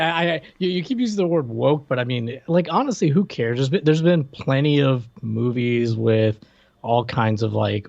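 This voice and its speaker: A man's voice: mid-range voice, vocal fry, a little mellow, no echo. Joking male co-host on a dull dry mic. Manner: joking, trails off and restarts. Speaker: podcast co-host. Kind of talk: casual chat about movies.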